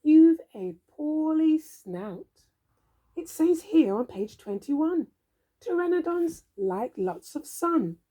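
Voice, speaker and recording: A woman reading a storybook aloud for young children in an expressive, theatrical, drawn-out way. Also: high voice, rough recording. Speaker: storybook reader.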